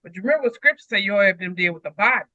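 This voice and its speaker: A man's voice: sits high, animated, very fast, sharp tone, no room sound, muffled recording. A man talking casually through scripture as a co-host, emphatic and repeating phrases for weight. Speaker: co-host of a Bible read-along discussion.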